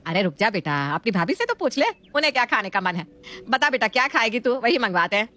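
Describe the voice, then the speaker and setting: A woman's voice: fairly high pitch, very animated, quick pace, pushed and bright. A woman playing a fussy, doting middle-aged Indian mother in a family story, motherly and given to exclaiming. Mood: caring. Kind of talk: scripted family story acting.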